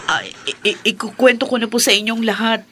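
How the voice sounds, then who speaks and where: A woman's voice: on the high side, a little fast, fairly bright tone, slight background noise, lightly acted. An actress playing a young bar worker in a Filipino radio drama, hesitant, respectful and emotional in her delivery. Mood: hesitant, uneasy. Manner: hesitant, stammering, deferential.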